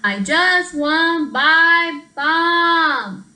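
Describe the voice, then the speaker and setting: A woman puts on a miserable sick little boy in a storybook, with a high, bright, blocked-nose voice. He whines loudly and insistently, and the room echoes around him. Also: animated, very slow, heavily acted. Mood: whiny, miserable. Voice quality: congested, stuffed-nose speech. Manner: loud, insistent, plaintive. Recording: roomy echo.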